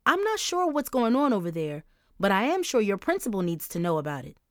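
A woman's voice: mid-range voice, big pitch swings, fairly quick, no echo, clean studio sound, natural voice. A woman voicing a worried mother who keeps pressing her daughter with concerned questions. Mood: insistent.

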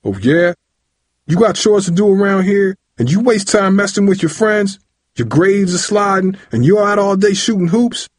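Man acting a furious, domineering dad, pitched up and loud. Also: lively intonation, moderate pace, husky, no echo, clean studio sound. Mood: scolding, threatening. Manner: shouting, interrogating, colloquial and threatening. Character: angry, domineering father of a teenage boy. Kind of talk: audiobook character voice.

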